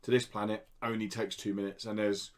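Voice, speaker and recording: A man's voice: lowish voice, flat delivery, a little fast. A man co-hosting a gaming podcast, chatting informally and in a rambling, mildly sweary way. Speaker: podcast co-host. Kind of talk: casual gaming chat.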